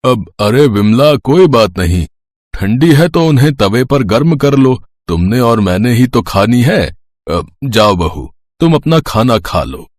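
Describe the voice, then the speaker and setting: A man voicing an easygoing elderly father-in-law in a moral story, talking in an unhurried, placating way. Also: medium pitch, sing-song, pitched above the performer's own voice. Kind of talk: scripted Hindi moral-story cartoon voice.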